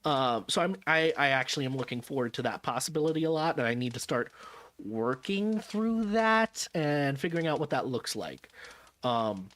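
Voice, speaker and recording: A man's voice: mid-range voice, animated, normal speed, fairly bright tone. Tired, rambling male streamer. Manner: rambling, self-correcting, wry. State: tired, jet-lagged. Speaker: vtuber streamer. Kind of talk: casual stream chat.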